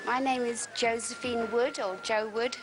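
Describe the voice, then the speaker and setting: A woman's voice: on the high side, flat delivery, moderate pace, heavy background noise. A woman from London, a pilgrim speaking chattily and candidly.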